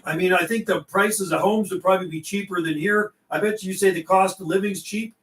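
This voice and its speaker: A man's voice: high-pitched, brisk, fairly bright tone, roomy sound, far from the mic. A middle-aged man chatting casually over CB radio on a livestream, folksy and given to repeating himself. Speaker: CB radio operator and livestream host.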